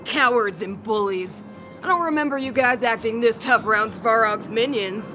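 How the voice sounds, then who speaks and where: A woman's voice: a little high, moderate pace, bright, forceful tone, soft background music. A woman playing a plucky, defiant miner in a video game. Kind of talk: video game character acting.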